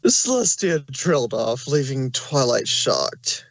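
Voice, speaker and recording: Slow male story narrator, dry sound. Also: mid-range voice, big pitch swings, dry recording.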